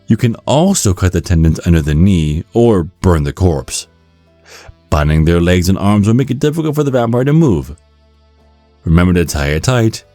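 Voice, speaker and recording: A man giving a scripted ASMR narration in a measured, instructional storytelling manner. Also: sits low, warm tone, no room sound. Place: close, dry recording with music behind.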